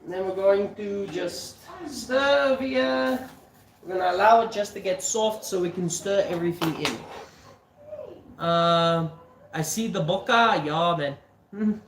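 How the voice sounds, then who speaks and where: A man's voice: high voice, slow pace, a bit bright, slight background noise. Stuffy South African man, roomy sound. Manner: chatty, answers viewers, casual swearing. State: stuffy nose. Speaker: livestream cooking host. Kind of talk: casual live-stream chat.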